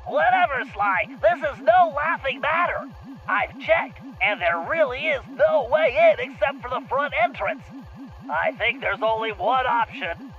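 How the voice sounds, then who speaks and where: A man voicing a nerdy, brainy turtle gadget expert for an animated video game, with a nasal, fussy, precise delivery over a radio communicator. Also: very high voice, unhurried, slightly rough, highs cut off, exaggerated voice. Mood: impatient. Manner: fussy, precise, briefing style. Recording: band-limited radio-like sound, faint music behind.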